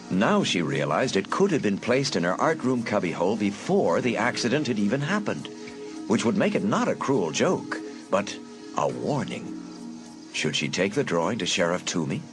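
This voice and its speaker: Brief: male storyteller, lowish lively voice, measured suspense, music underneath. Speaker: narrator. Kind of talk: scripted audiobook narration. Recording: narration over a music bed.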